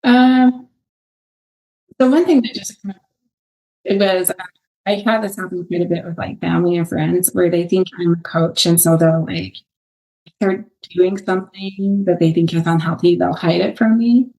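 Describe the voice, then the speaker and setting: Mid-aged lady fitness trainer, lowish gravelly voice, stops and starts, laughs a lot. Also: steady tone, normal speed, a little mellow, low-quality recording. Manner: hesitant, laughs often. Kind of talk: casual interview answers.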